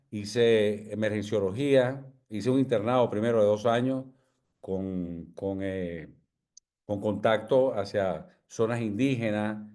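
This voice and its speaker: A man in his sixties with a Venezuelan accent, a physician answering interview questions in a measured, humble way, with many pauses and tag questions. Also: on the low side, a little mellow. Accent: Venezuelan Spanish. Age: 60s. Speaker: physician guest.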